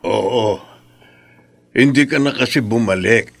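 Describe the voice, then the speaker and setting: Gravelly, stern older Filipino man. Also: mid-range voice, slow, a bit bright, full character voice. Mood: suspicious, grave. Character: stern, suspicious Filipino father or older lover. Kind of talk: Filipino radio drama acting.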